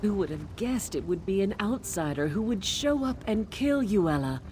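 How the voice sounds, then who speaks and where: A woman's voice: mid-range voice, animated, moderate pace, background noise, natural voice. An actress playing a wry, earthy forest witch in a video game, with a casual, teasing manner. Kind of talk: video game character dialogue.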